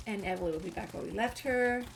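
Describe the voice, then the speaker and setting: A woman's voice: medium pitch, fast, creaky, very noisy. A woman doing a casual game walkthrough, reading dialogue choices aloud and commenting. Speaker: gamer narrating a walkthrough.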